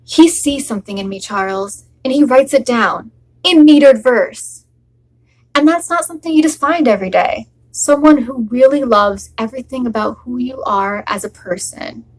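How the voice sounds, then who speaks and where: A woman's voice: a little high, moderate pace, natural voice, rough recording. Woman performing a sardonic, heartbroken wife. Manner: sarcastic, forced laughter over near tears. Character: wry heartbroken wife refusing a divorce. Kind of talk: theatrical monologue performance.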